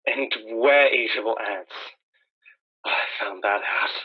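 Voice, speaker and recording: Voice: mid-range voice, slow, husky. An actor playing a lost, despairing wanderer in a radio play, hesitant and questioning. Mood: bleak, anxious. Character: lost, despairing wandering survivor seeking people. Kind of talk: dramatised radio play dialogue. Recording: thin telephone-like radio sound.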